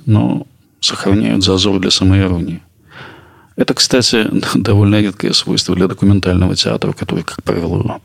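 Husky, creaky-voiced male narrator. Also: fairly low pitch. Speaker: podcast host. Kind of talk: scripted narration.

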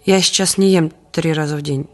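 A woman's voice: deep voice, steady tone, a little fast, darkish tone, faint music behind, close to an everyday voice. An actress playing a wry, stubborn young woman in an audio play monologue, speaking with dry irony. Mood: dryly ironic. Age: young adult.

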